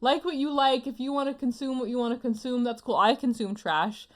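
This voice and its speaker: Higher-voiced woman in casual podcast chat. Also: fairly quick. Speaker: podcast co-host. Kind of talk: casual comedic podcast chat about anime.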